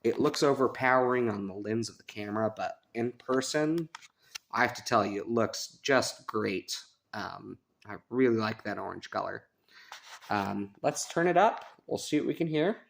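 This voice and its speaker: Gravelly, low-pitched man reviewing gear casually. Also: normal speed, a little hiss or hum. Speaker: radio reviewer. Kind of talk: casual hands-on product review. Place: sunroom at a house.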